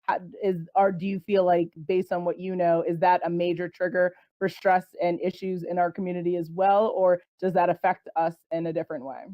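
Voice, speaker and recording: A woman's voice: lowish voice, level tone, no room sound. Woman host with a dull, dry sound. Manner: measured, with frequent "you know" and affirming hums. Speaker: podcast host. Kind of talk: casual interview questions.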